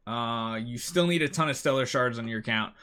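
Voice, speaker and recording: A man's voice: medium pitch, fairly quick, sharp tone, clean tone. A man hosting a gaming video, giving casual, emphatic gameplay advice and repeating key words to drive points home.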